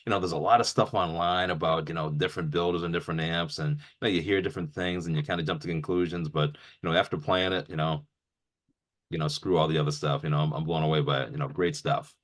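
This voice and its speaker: Very deep-voiced man, casual interviewer. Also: fairly even intonation, fast. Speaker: host, interviewer.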